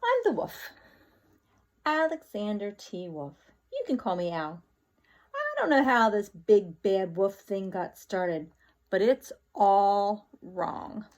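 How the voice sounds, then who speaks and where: A woman voicing a chatty, self-excusing male wolf from a children's picture book, with exaggerated, drawn-out emphasis. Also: medium pitch, sing-song. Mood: protesting innocence.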